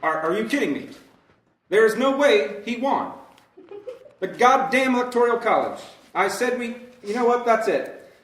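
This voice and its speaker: A man acts out an exasperated, foul-mouthed president in a sketch comedy show. The voice is high for a male, sarcastic and defiant. It sounds echoey and set back from the microphone. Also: lively intonation, moderate pace, fairly bright tone. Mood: indignant. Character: exasperated, foul-mouthed departing American president, ranting bluntly. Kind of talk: comedy sketch in old-time radio variety show. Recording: echoey room, distant from mic.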